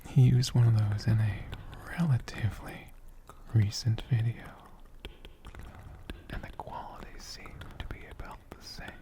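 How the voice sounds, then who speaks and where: A man doing soft-spoken, whispered ASMR in a gentle, deliberate manner, close to a condenser mic. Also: mid-range voice. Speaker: ASMR creator answering viewer questions. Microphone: USB condenser mic, close up.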